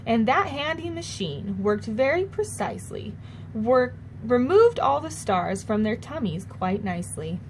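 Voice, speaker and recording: A woman's voice: fairly high pitch, big pitch swings, unhurried, a little hiss or hum, rough recording. A woman reading a rhyming children's story aloud, expressively and with dramatic emphasis. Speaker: storybook reader. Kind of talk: children's read-aloud narration in rhyme.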